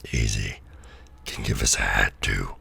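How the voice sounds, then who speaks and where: A man voicing a gruff, sardonic alien symbiote with a growling monster voice in an audio drama. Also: fairly even intonation, measured pace, sharp tone, reverberant, exaggerated voice. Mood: sardonic, mischievous. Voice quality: deep gravelly growl.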